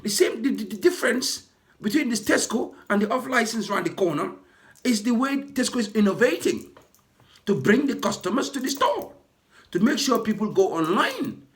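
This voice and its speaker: A man who works as a ride-hailing driver, hoarse from losing his voice, delivering an indignant, repetitive rant to camera for his vlog. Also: high voice, big pitch swings, moderate pace. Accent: British. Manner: indignant, repetitive, building to impassioned outbursts.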